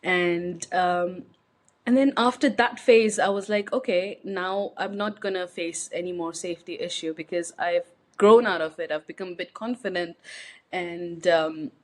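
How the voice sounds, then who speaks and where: A woman's voice: on the low side, unhurried. A young woman from India, speaking as an interview guest in a reflective, hesitant way with frequent fillers and restarts. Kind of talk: personal interview conversation.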